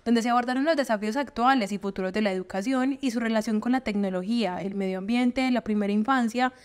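A woman's voice: on the high side, quick pace. A woman reading scripted news narration as a voice-over.

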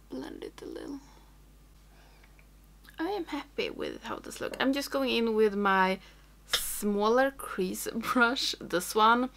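A young woman talks slowly through her makeup routine in English, which is not her first language. Her fairly high voice carries a gravelly fry, and she drifts into whispers while concentrating. Recorded on a camera close in front of her, in a small room at home. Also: fairly bright tone. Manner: rambling, thinks aloud, drops to whispers while working. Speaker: makeup vlogger. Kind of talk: casual tutorial chat.

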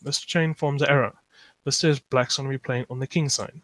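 A man from South Africa teaching chess live over an online call, pausing often with fillers as he thinks aloud and answers chat. The sound is dry and close, recorded at a desktop mic. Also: mid-range voice, fairly even intonation, fairly bright tone, no echo. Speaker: chess lecturer.

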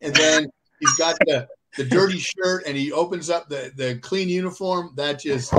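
A man's voice: on the high side, moderate pace, heavy background noise. A man in his sixties on a casual video-call chat, wry and teasing, telling movie anecdotes. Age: 60s. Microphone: webcam on video call.

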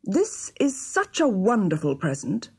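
A woman's voice: medium pitch, huge pitch swings, measured pace, vocal fry, husky, exaggerated voice. A woman voicing an earnest, easily flustered king of fifty in a children's storybook. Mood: grateful. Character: kindly fifty-year-old Persian king, easily flustered. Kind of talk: children's storybook character reading.

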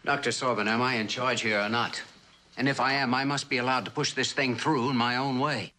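An actor playing an earnest, stubborn male scientist in a mid-century television drama, speaking in a formal, measured, persuasive way. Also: mid-range voice, steady tone, bright, forceful tone, natural voice. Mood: indignant, pleading. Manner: formal, measured, deliberate speechmaking delivery.

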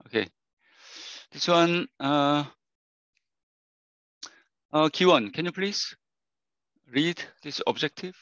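A hoarse male professor gives a lecture over a video call, circling back on his phrases with plenty of fillers. His voice is bright and forceful. The audio is dry and a little dull, like a lo-fi call. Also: on the high side, expressive, no echo. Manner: halting, repeats phrases, many fillers. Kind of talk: online university lecture.

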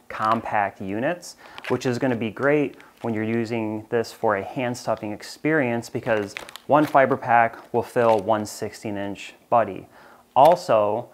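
A man in his thirties with an American accent, presenting a clear, steady explainer in a bright studio on a lapel mic. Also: lowish voice, a little hiss or hum. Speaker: company presenter. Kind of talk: product explainer. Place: bright studio with shelves of plush toys.

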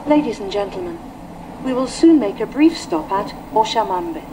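Female recorded train announcer, unhurried, medium register, played in a noisy carriage. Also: medium pitch, animated. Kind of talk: pre-recorded scripted announcement. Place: played over speakers inside moving train.

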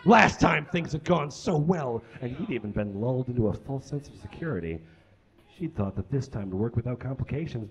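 Male comic reader, youngish, dramatic delivery with big swings in pitch, slightly dull live recording. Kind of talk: theatrical comic reading of a story aloud. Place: small indoor stage with curtains and brick.

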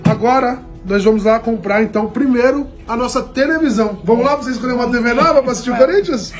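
Fairly high-voiced man in his 30s, earnest, a bit preachy, phone vlog with music and shop crowd behind. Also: quick pace. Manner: earnest, preachy addresses to viewers. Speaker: charity vlogger. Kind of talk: motivational vlog talk. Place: electronics store. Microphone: phone held at arm's length.

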